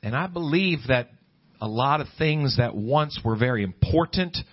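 A middle-aged American man preaching as a pastor, in emphatic, deliberate phrases with pauses. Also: mid-range voice, expressive, husky. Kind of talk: preaching a sermon to a congregation, reading scripture. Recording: dull, lo-fi sermon recording.

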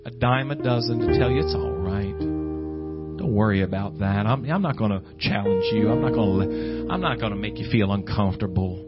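A man preaching an evangelical sermon, exhorting earnestly with drawn-out emphatic phrases and repetition. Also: on the low side. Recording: dull lo-fi audio under loud guitar music. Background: loud plucked guitar music.

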